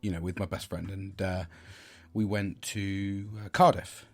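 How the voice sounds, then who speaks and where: A husky middle-aged man from the United Kingdom hosting a casual solo podcast, talking in a hesitant way with many fillers and restarts. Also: low-pitched, level tone, measured pace, darkish tone, faint music behind. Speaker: podcast host.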